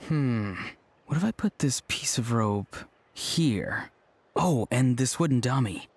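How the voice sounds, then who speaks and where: A man playing an easygoing young villager who tells stories in a relaxed, dryly amused way. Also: medium pitch, slow pace, husky, no room sound, exaggerated voice.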